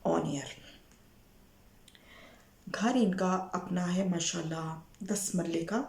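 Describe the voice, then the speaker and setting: A woman with a Pakistani accent speaks very slowly in a lowish voice with a lot of creak, slightly hoarse from a sore throat. She sounds like a matchmaker informally reading out a profile, dropping English words into her speech. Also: a little mellow, reverberant. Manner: Urdu mixed with frequent English words. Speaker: matchmaker presenting a marriage proposal profile. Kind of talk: informal spoken profile presentation to viewers.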